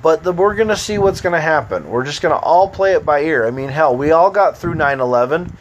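A man's voice: fairly high pitch, expressive, brisk, rough recording. An American man hosting his own podcast, talking in a loose, meandering way with lots of fillers and restarts. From United States. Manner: meandering, easygoing, frequent fillers. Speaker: podcast host. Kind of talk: casual rambling monologue.

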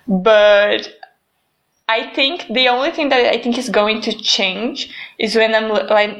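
A woman's voice: medium pitch, fairly bright tone. A young woman with a Brazilian Portuguese accent, chatting casually in English, her second language, with lots of "like". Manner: chatty, fills with "like". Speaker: conversation partner. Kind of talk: casual chat.

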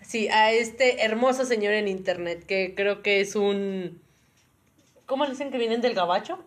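A woman with a Mexican accent and a slightly hoarse voice, chatting casually and jokingly as a podcast co-host. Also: medium pitch, normal speed.

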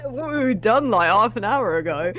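A man with a slightly high voice chats as a co-host reviewing films. He wanders through his points hesitantly. The recording carries faint noise. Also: expressive, a little fast, pushed and bright. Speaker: co-host of a movie reaction show. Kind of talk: casual group movie review chat.

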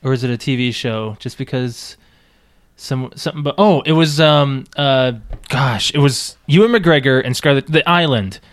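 A man's voice: mid-range voice, normal speed, no room sound. A man co-hosting a podcast, chatting casually and rambling through stories. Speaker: podcast co-host. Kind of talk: casual chat.

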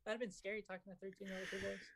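Twenties male podcast guest from Mexico, raspy and soft-spoken, easygoing talk with lots of fillers. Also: sits high, little pitch movement, very fast. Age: 20s. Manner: easygoing, agreeable, filler-heavy. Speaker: guest author. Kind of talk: casual chat.

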